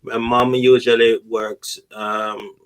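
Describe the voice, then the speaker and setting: A man's voice: mid-range voice, level tone, slow pace, smooth. A middle-aged man with a Jamaican accent, chatting casually as a podcast guest and telling anecdotes, often repeating words for emphasis.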